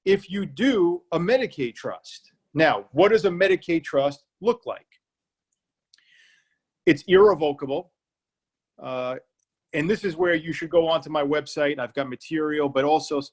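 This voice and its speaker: Plain-spoken Irish man explaining law. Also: on the high side. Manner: plain-spoken, repeats key points to drive them home. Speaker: elder law attorney. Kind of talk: public library seminar talk. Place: remote online presentation.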